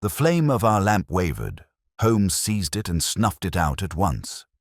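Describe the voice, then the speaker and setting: A man reading a dramatic mystery story in a solemn, measured, literary storytelling manner. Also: low voice, big pitch swings, no echo, clean studio sound. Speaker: audiobook narrator. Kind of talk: scripted dramatic mystery narration.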